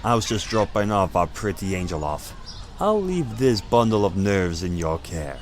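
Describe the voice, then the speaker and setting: A man's voice: low-pitched, sing-song, normal speed, a little hiss or hum. A man voicing an easygoing angel big brother, teasing and genial, in an audio roleplay. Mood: playful, teasing.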